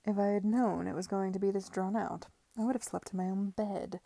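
A woman voicing an insincere, petulant young gentleman in a period audiobook. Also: mid-range voice, steady tone, fairly quick, gravelly.

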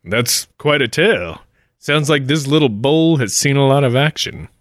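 A man plays a wily adventurer in a comedic 1940s-style radio play. He delivers sly, folksy patter full of old-time slang, with a lively pitch that rises and falls freely. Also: normal speed, no echo, clean studio sound, lightly acted. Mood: needling. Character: folksy, wily adventurer narrating his own scheme.

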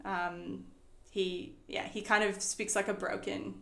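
A woman's voice: medium pitch, level tone, slow, creaky, pushed and bright, roomy sound. A young woman from Sweden who grew up partly in the States, speaking English with an American accent. Her native language is Swedish, and she chats in a rambling way with many fillers and restarts. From Sweden and the United States. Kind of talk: casual chat.

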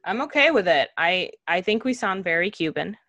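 A woman's voice: lowish voice, big pitch swings, normal speed, a bit bright, no room sound, muffled recording. A young woman co-hosting a podcast, chatting casually, laughing often and trailing off with ums. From Cuba. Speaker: podcast co-host.

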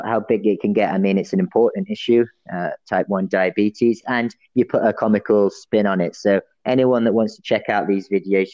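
A man's voice: lowish voice, darkish tone, dry recording, highs cut off. A man interviewing a guest in a friendly, encouraging way who laughs easily. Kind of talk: casual interview.

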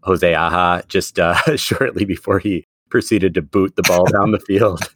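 A low-voiced man co-hosting a casual sports podcast, chatty and quick to laugh. His voice sits close and dry, with no room sound around it. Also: a bit bright, husky, no room sound. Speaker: podcast co-host; middle school teacher.

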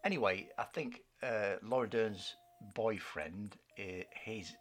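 A man co-hosting a podcast, chatting casually about film trailers in a husky voice, rambling and searching for words. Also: lowish voice, slow, fairly bright tone, a little hiss or hum. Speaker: podcast co-host.

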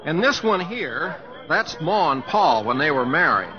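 An actor playing a folksy, humble, teasing young cowboy with a Southwestern American accent and a homespun, hesitant drawl, in old radio drama style. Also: male voice, on the high side, sing-song, a little slow, a crowd in the background. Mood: wry, reminiscing. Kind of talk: 1940s radio acting. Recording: muffled old radio broadcast with music.

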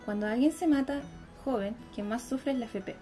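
A troubled, plain-spoken Chilean girl, played by a young woman in a radio drama. Her voice sits fairly high, and music plays clearly behind her. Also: fairly quick, mellow, lightly acted. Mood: questioning, reflective. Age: young adult. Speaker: student performer. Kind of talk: radio theatre acting.

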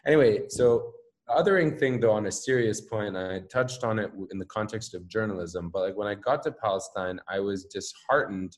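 Rambling American man, low voice. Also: fairly quick, few pauses. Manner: rambling, many fillers and restarts. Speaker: podcast guest; journalist. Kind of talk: candid casual conversation.

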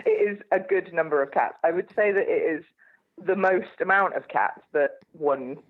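A woman's voice: on the low side, moderate pace, slightly rough, phone-quality sound. A woman guest on a casual phone chat, bubbly and playful, often breaking into laughter.

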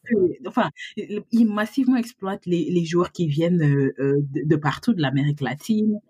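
A man with a somewhat high, husky voice hosts a casual podcast chat. He is agreeable and hesitant, pausing on fillers as he frames his questions. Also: darkish tone. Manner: agreeable, hesitant, many euh. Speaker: podcast host.

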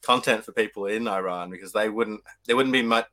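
A man's voice: on the low side, brisk, pushed and bright, no echo. A man hosting a casual podcast over a video call, asking friendly, encouraging questions. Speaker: podcast host.

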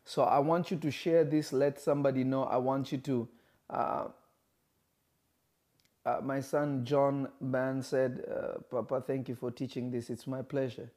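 Man, preacher, livestream sermon, emphatic, repeats phrases, warm mellow voice. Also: mid-range voice, fairly even intonation, a little slow. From Kenya. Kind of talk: livestream sermon reading viewer comments.

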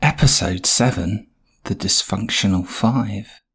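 A man's voice: fairly low pitch, slow, fairly bright tone, slightly rough. A man announcing an audio drama show in a scripted introduction.

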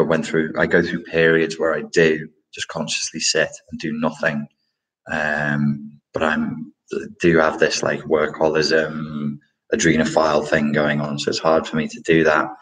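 A man's voice: very deep voice, fairly bright tone, rough recording. A man hosting a casual live question-and-answer stream, talking in a rambling, reflective way with many fillers and restarts. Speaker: livestream host answering chat questions.

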